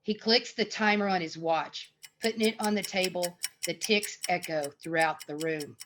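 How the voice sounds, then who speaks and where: A woman's voice: fairly low pitch, moderate pace, background noise. A woman reading scripted screenplay narration and stage directions.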